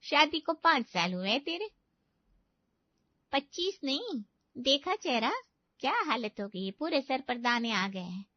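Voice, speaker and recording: A woman's voice: high-pitched, big pitch swings, unhurried, pauses often, no echo, dull audio. A woman voicing a fussing, outspoken middle-aged aunt in a story, affectionate but indignant, with exclamations and motherly concern.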